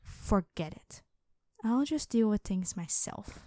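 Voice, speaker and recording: A woman playing a distressed but stubborn young woman in an audio roleplay, speaking with a pleading, halting manner. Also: medium pitch, lively intonation, warm tone, slight background noise. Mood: bitter, defiant. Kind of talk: cinematic audio roleplay acting.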